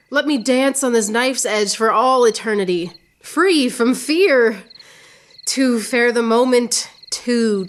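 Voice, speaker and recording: An actress playing a witty, proud, lovelorn woman poet in radio theatre, speaking in a theatrical, yearning way with drawn-out phrases. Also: a little high, slight background noise. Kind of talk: poetic radio theatre acting.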